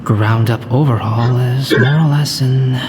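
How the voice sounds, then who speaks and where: A man playing a composed, polite young painter and scholar in an anime video game, calm and understated. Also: mid-range voice, measured pace, heavy background noise, natural voice. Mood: modest.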